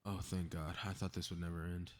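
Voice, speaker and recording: A man's voice: deep voice, flat delivery, a little fast, lightly acted. A man playing a cocky, snarky superhero in a scripted audio roleplay, muttering to himself with sarcastic exasperation. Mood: weary, defiant. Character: cocky, snarky, meddling invisible male superhero grumbling to himself.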